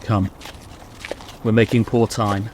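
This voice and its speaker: A man's voice: lowish voice, level tone, slow, a little mellow, slight background noise. A man playing a stern, impatient, bossy leader of a quest in a radio play.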